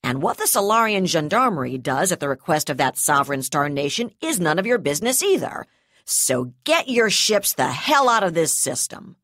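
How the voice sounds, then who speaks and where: A woman's voice: lowish voice, very animated, a little slow, hardly any pauses, breathy edge, dry recording, clean studio sound, heavily acted. A woman voicing a furious, foul-mouthed female brigadier who snarls and sneers in a harsh, hard-edged voice. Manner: shouting, snarling, swearing.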